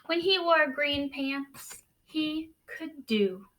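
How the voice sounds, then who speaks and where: A woman with a fairly high voice leads a picture-book read-aloud for children. She takes her time, speaking slowly and deliberately. Key words get expressive emphasis, as a teacher would give them. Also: poor audio.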